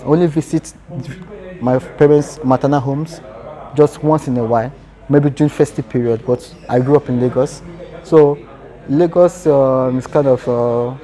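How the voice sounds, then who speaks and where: Nigerian guy in an interview, dark relaxed voice, earnest, talks in short restarting bits. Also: mid-range voice. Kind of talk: casual interview answers.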